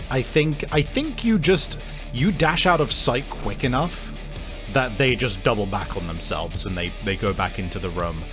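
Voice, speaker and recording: A man narrating a tabletop game as game master, casually improvising vivid descriptions and swearing now and then. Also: medium pitch.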